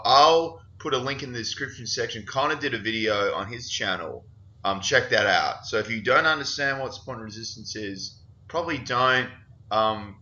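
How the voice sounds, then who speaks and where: A man's voice: fairly low pitch, pushed and bright. A man hosting a casual trading chat, sounding nasal and stuffy from hay fever, rambling with lots of fillers. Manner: chatty, rambling with many fillers. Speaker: podcast host. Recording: dull lo-fi recording.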